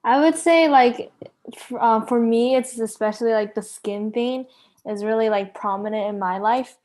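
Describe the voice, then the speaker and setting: A woman's voice: a little high, moderate pace, darkish tone. A teenage girl co-hosting a podcast, talking casually with many "like" and "um" fillers. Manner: hesitant, heavy "like" and "um" fillers. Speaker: podcast co-host. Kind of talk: casual chat.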